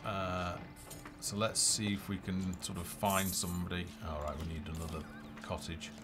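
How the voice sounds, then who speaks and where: A man's voice: low voice, level tone, moderate pace. A man giving casual live commentary as a game developer playing, thinking aloud in half-muttered asides. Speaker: game developer streaming a play-through. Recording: game music behind the voice. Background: game music and unit voices.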